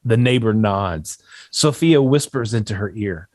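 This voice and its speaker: A man's voice: lowish voice, animated, unhurried, darkish tone, no echo. A man reading stage directions as scripted narration at a table read.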